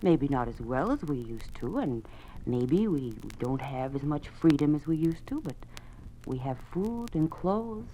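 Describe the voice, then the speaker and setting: Placating American wife and mother, animated, reasoning tone, 1950s radio acting on an old recording. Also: sits low, unhurried, a little hiss or hum, rough recording. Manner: soothing, reasoning. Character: placating American mother, anxious to accept and get along.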